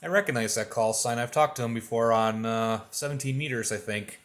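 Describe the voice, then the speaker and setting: A man's voice: mid-range voice, fairly quick, a bit bright, roomy sound, slight background noise. A man chatting casually as an amateur radio operator. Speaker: amateur radio operator narrating and making contact. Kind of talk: casual chat.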